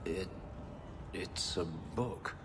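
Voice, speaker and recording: An actor playing a brooding, guarded elf who escaped slavery, speaking in a grave, terse way for a video game. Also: male voice, sits low, drawn-out words, noisy background. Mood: wry, reserved. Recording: music behind.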